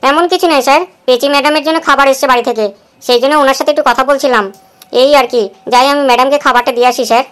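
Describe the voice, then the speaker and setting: A cheeky, fawning office errand runner, played by a woman. The voice is fairly high, the talk fast and ingratiating. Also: steady tone, clear, resonant tone, close to an everyday voice. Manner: ingratiating, nosy.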